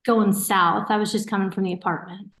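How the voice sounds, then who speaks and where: Woman acting caring big-hearted sister in a play, teasing, bit suspicious, natural talky delivery, mid pitch. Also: steady tone, fairly quick, a bit bright, natural voice. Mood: teasing, casual. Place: remote online staged reading.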